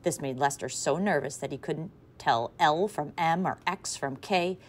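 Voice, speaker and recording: A woman teacher reading a chapter book aloud to her class in a lively, expressive way. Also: deep voice, normal speed.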